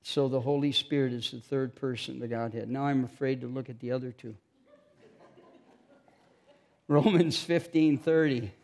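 Gravelly, chatty preacher man. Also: medium pitch, steady tone, unhurried, long pauses, darkish tone. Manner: chatty, self-mocking, jokes with the congregation. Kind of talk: informal sermon with scripture reading.

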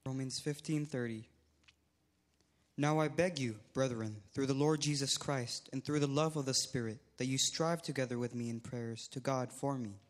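A man from the congregation reading a Bible passage aloud in a steady, measured way. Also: medium pitch. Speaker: congregation member reading scripture.

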